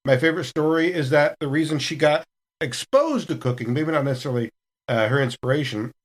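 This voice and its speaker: A man chatting casually as a podcast cast member, telling stories in a rambling way. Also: a little high. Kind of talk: casual retrospective chat.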